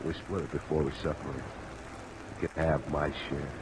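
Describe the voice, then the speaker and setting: Deep-voiced nervous crook, noisy rain. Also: male voice, flat delivery, moderate pace. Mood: resigned, sullen. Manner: evasive, blustering, sullen. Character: nervous, defiant male bartender cornered into confessing. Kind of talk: old-time radio crime drama acting. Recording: noisy vintage radio recording, rain sounds.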